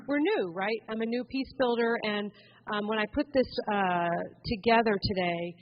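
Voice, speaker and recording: A woman's voice: a little high, normal speed, muffled recording. Upbeat woman coaxing a conference crowd. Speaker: conference speaker. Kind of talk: interactive talk to a seated audience. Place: conference hall. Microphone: handheld mic.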